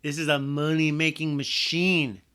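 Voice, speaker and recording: Bright man adding details. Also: on the high side, level tone, unhurried, clear, resonant tone. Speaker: podcast co-host. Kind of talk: casual comic book discussion.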